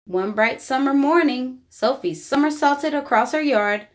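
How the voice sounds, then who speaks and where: A woman reading a children's book aloud in an expressive, deliberate storytelling style. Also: high-pitched. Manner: animated, deliberate storybook delivery. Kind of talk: expressive read-aloud narration for young children.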